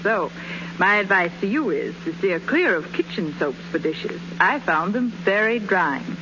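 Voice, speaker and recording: A woman's voice: mid-range voice, big pitch swings, unhurried. A woman playing a chatty, earnest married woman giving advice in a 1930s radio advertisement. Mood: earnest, confiding. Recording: muffled, hissy 1930s radio recording.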